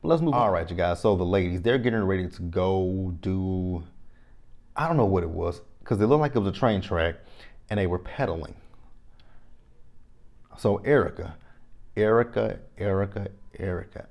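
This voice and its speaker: Low, mellow-voiced Texan man vlogging. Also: normal speed, vocal fry, pauses often. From Texas. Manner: animated, repeats phrases, talks straight to viewers. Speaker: reality TV recap vlogger. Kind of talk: casual opinionated review to camera. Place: small indoor room, plain backdrop. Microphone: lapel mic.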